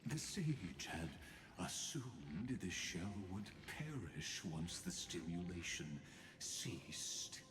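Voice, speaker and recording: A man voicing a cold, scheming sage in a video game, speaking in a slow, theatrical, menacing manner. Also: low-pitched, big pitch swings, fairly bright tone, slight background noise, heavily acted. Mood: grave, ominous. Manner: slow, deliberate, theatrical delivery. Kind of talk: dramatic video game dialogue. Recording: long reverb, music underneath.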